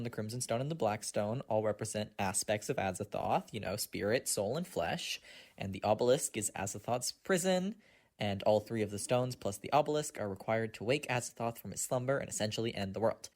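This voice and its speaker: Lowish-voiced man explaining fan theories. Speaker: listener sending a voice message.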